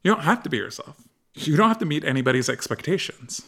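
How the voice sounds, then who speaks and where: A man speaks casually, as if thinking aloud in a discussion. His voice sits a little high and has a clearly gravelly creak. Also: big pitch swings, fast, fairly bright tone.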